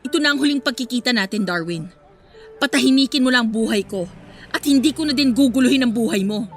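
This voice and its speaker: A woman's voice: on the high side, fast talker, close to an everyday voice. A woman acting in a radio drama as a hurt, sarcastic young girlfriend confronting her cheating boyfriend. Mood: hurt, final. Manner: sarcastic, cutting. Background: faint background music.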